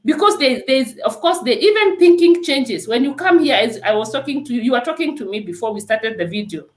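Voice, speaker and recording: Earnest Kenyan woman, high lively voice. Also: brisk, roomy sound. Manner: earnest and exhortative, repeats "let me tell you". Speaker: nurse and guest. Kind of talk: emphatic testimony in an interview.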